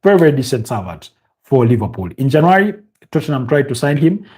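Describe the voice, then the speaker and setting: A man with a Ugandan accent hosts a casual live football update and chats with viewers, talking off the cuff, with frequent fillers and pauses to recall names. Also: mid-range voice, big pitch swings, mellow. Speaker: host of a football news livestream. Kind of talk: casual live commentary reading viewer comments.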